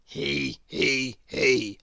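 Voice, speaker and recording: A storyteller voicing a gloomy, mournful male creature who speaks in a low, miserable way. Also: huge pitch swings, slow pace, creaky, fairly bright tone, husky, no echo, heavily acted.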